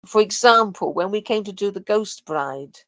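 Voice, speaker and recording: A woman's voice: medium pitch, expressive, moderate pace, fairly bright tone, no room sound. A woman chatting casually and thoughtfully about her work on a podcast. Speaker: podcast guest, sound and music designer for audio dramas. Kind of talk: casual chat.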